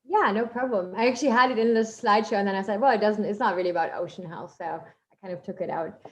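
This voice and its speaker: A woman's voice: medium pitch, fast talker, lo-fi sound. A woman with a German accent, a marine scientist giving a chatty online talk with many fillers and asides. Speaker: marine biologist guest speaker.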